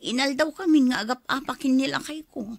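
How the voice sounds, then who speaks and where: A woman's voice: high voice, brisk, gravelly, no room sound, studio quality. A woman acting in an Ilocano radio drama as a hurt, suspicious wife and mother, with an Ilocano Filipino accent. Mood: downcast, confiding.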